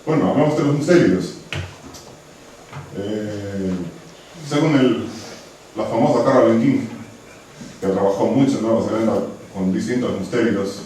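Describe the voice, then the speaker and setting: Low Estonian-accented man in echoey hall. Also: moderate pace, long pauses, warm tone. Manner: hesitant, restarts words, friendly asides. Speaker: conservation researcher giving a talk. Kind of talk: conference presentation. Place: lecture hall. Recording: very echoey hall from far off, faint noise.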